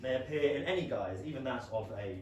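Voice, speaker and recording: Man acting reserved Regency gentleman, very echoey stage. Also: mid-range voice, lively intonation, muffled recording, a little hiss or hum, close to an everyday voice. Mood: formal, reserved. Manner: formal, measured, dryly earnest. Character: reserved, high-minded, progressive young Regency gentleman. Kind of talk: staged reading of a period comedy play. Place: small theatre stage, captured from a distance. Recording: very echoey, distant theatre stage recording.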